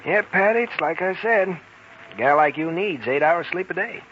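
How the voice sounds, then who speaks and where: An actor playing a preoccupied young intern doctor, casual and low-key, in 1940s radio drama style. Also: male voice, animated, moderate pace, highs cut off, natural voice. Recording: old radio recording, faint noise.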